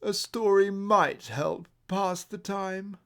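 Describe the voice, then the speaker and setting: A vain, haughty storybook owl, played by a man, pitched high above a normal speaking voice. The words come out in a snooty, slow drawl. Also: vocal fry, a bit bright, exaggerated voice. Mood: bored. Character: haughty, vain, colourful pretty owls. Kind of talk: children's picture book read aloud.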